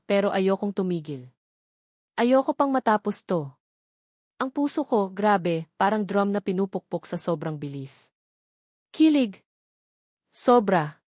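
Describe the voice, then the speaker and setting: Female romance narrator, Filipino accent, mid-pitched, emotive dramatic storytelling, dry recording. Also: darkish tone, dry recording.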